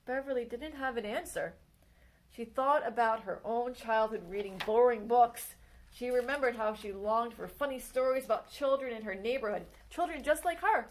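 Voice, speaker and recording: A woman librarian reading a picture book biography aloud for children, with expressive storytime delivery that slows down on exciting moments. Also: fairly high pitch, rough recording.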